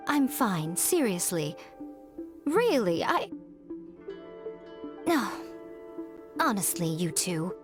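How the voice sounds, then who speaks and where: A woman's voice: mid-range voice, very animated, drawn-out words, pauses often. A woman voicing a sly, elegant fox shrine maiden in a video game, coy, teasing and self-assured. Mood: coy, wistful. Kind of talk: video game character acting. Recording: faint music behind the voice.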